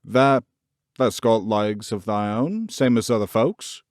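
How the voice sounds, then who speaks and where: A man's voice: fairly low pitch, lively intonation, takes it slowly, no room sound, studio quality. A man doing a kind Yorkshire country boy. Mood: reassuring. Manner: stout, reassuring dialect.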